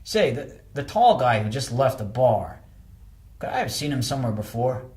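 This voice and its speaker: A man's voice: mid-range voice, very animated, normal speed, darkish tone, lightly acted. Man playing a polite, informal visitor. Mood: curious, surprised.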